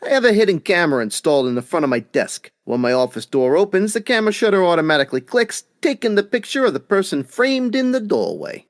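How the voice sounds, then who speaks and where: An actor playing a cunning, smug gangster boss, tough-talking and mock-polite, in old-time radio drama style. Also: male voice, high voice, sing-song, fast talker, few pauses, dry recording, studio quality. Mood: smug, boastful.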